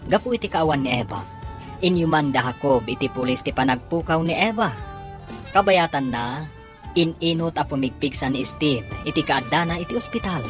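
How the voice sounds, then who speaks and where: Low, animated woman narrator over music. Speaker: radio drama narrator and announcer. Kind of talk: scripted dramatic narration.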